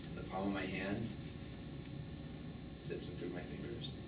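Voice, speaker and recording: A man's voice: low-pitched, fairly even intonation, a little slow. Noisy, far-off actor as flippant middle-aged man. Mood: subdued, confessional. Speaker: stage actor. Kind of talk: live stage drama acting. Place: small theatre, recorded from a distance. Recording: very noisy, echoey stage recording from afar.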